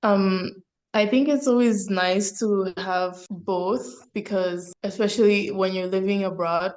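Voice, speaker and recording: A woman of twenty, a podcast guest, answering in a relaxed, thoughtful way. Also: on the low side. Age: 20s.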